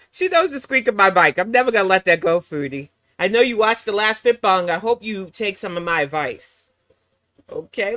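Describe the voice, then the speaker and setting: Sassy slightly hoarse woman streamer. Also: mid-range voice, a bit bright, no echo. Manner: sassy, mocking asides. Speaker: reaction channel host. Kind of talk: casual live-stream chat.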